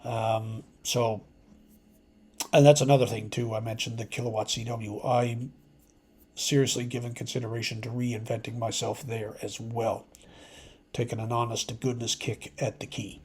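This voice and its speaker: A man who hosts an amateur radio channel, talking to his subscribers in a casual, unscripted, halting and reflective way that rambles. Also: mid-range voice. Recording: clear steady background noise.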